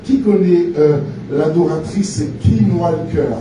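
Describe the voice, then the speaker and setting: A man from France preaching a sermon in short phrases, pausing for an interpreter. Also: fairly high pitch, background noise. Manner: pauses in short phrases for translation. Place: large church hall. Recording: echoey church from far off.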